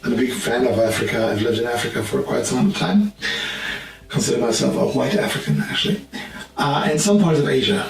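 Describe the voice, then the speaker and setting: An earnest man with a German accent teaching in a plain, direct way and often restarting his sentences. His voice is gravelly and sits back from the microphone. It echoes heavily around his office, with faint music underneath. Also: medium pitch, brisk, distant mic. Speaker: Bible teacher. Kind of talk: informal teaching talk to camera.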